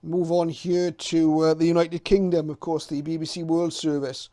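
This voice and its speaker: A man's voice: a little high, level tone, moderate pace, mellow. A middle-aged man giving informal, unscripted commentary as a hobbyist presenter, hesitant and reminiscing as he goes.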